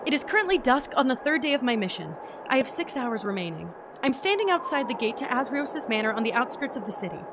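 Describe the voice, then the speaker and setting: High-voiced, lively young traveler. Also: female voice, fast talker, hardly any pauses, background noise. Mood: nervous. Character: earnest, quick-witted young traveler on a secret mission. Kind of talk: fantasy audio drama acting with log narration.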